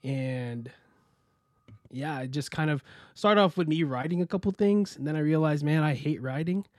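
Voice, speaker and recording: A young American man telling his story as a podcast guest, relaxed and rambling with many fillers and restarts. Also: a little high, normal speed, no echo, studio quality. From United States. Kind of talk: casual interview chat.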